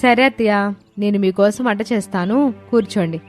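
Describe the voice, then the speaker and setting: A woman's voice: medium pitch, normal speed, soft background music, lightly acted. A woman voicing a confident, dutiful young wife and mother in a dramatised story, assertive and reassuring.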